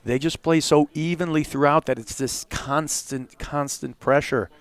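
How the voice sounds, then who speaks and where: A man's voice: on the high side, fairly even intonation, measured pace, clean tone, no room sound. A man commentating a platform tennis final in a chatty, conversational way, then wrapping up the broadcast. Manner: chatty, conversational, fillers like "you know". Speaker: play-by-play commentator. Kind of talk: sports commentary wrap-up.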